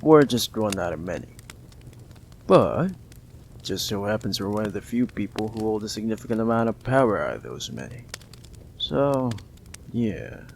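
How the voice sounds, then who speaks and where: A man voicing a smug, possessive mafia boss in an audio roleplay, teasing and condescending, with a gravelly drawl and long pauses. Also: big pitch swings, a little hiss or hum. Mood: smug explaining. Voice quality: low, gravelly, drawling delivery with drawn-out pauses. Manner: teasing, condescending, calls listener darling.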